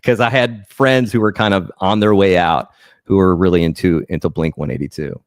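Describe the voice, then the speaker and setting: A man's voice: deep voice, moderate pace, no room sound, studio quality. A man joining a fantasy football draft as a guest, speaking casually in a hesitant, stammering but easygoing way. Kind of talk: casual chat.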